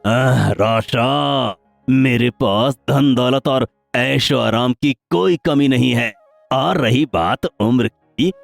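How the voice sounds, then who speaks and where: A man's voice: mid-range voice, takes it slowly, creaky, soft background music. A man voicing a rich, proud and vengeful older landlord with dramatic, self-important delivery in a cartoon story. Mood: wooing, persuasive. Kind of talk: Hindi cartoon moral-story acting.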